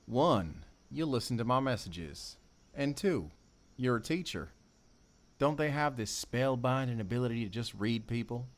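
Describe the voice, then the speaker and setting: A man playing a sardonic, wounded ex-convict with a soft heart in an emotional audio drama. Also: mid-range voice, lightly acted.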